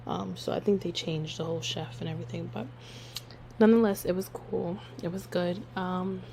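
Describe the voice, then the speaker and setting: A young woman hosting her own podcast, hoarse from losing her voice, chatting casually and rambling with lots of fillers. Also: fairly low pitch, moderate pace, slight background noise. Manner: rambling, filler-heavy, relaxed. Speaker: podcast host. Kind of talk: casual solo podcast chat.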